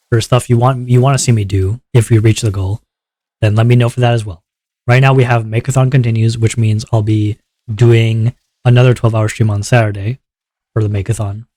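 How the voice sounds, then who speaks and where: A male streamer talks his chat through the event rules in a loose, chatty way. His voice sits at a middle pitch. The sound is close and dry, with no room around it. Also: a little fast, no echo. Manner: upbeat, chatty, checks in with chat often; relaxed lower voice after a viewer redeem. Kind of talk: casual livestream chat explaining rules.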